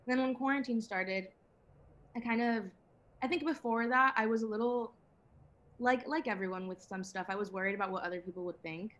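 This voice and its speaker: A woman's voice: a little high, a little fast, fairly bright tone, dull audio. Guest singer, woman, 18, from Venezuela; casual, chatty, restarts often; faint noise behind. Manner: chatty, laughs often, many fillers and restarts. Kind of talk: casual interview chat.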